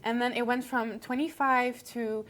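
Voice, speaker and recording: Chatty young woman, slightly high voice. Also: fairly even intonation, moderate pace, fairly bright tone. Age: young adult. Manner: chatty, lots of "like". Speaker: host. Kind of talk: casual chat.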